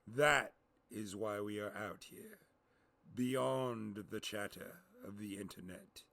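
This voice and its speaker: A man voicing a wise, calm male mentor warrior who speaks softly, slowly and solemnly. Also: lowish voice, vocal fry, no echo, pitched below the performer's own voice, full character voice. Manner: soft, slow and solemn.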